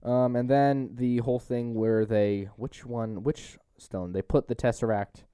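A man's voice: mid-range voice, steady tone, a little slow, mellow, clear, resonant tone, no room sound, clean studio sound. A young man hosting a podcast, chatting casually about a movie in slangy, opinionated, teasing talk. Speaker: podcast host. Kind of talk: casual movie review chat.

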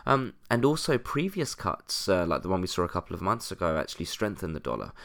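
A man with a very low voice, stuffy and congested from a cold. He talks through market news alone as a podcast host, steady and explanatory, with frequent "um" fillers. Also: fast, gravelly. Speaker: podcast host, currency analyst. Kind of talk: solo market commentary podcast.

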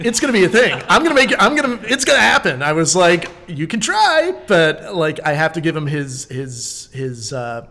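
Higher-voiced man swapping stories casually. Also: fairly bright tone. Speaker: podcast guest host, community theater actor. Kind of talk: casual conversation, anecdotes.

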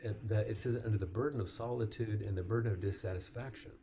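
A man leading a group discussion as its teacher, speaking softly and reflectively at an unhurried pace. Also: fairly low pitch, steady tone, slight background noise. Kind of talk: gentle guided group discussion.

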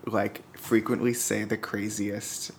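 Casual, expressive man on a podcast. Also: fairly low pitch, slow pace, creaky, a little hiss or hum. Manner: informal, swearing, expressive retelling with quoted dialogue. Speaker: podcast guest and producer. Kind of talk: casual chat, anecdote telling.

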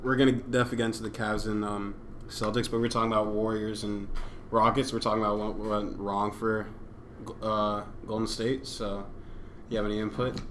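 Low-voiced man hosting over loud noise. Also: level tone, brisk. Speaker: podcast host. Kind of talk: casual sports talk. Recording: noisy room recording.

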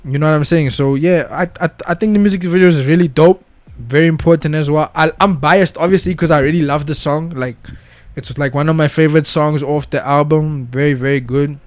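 A young man, giving casual, excited reaction commentary full of slang and swearing. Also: on the high side, fairly quick, dry recording. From South Africa. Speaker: reaction video host.

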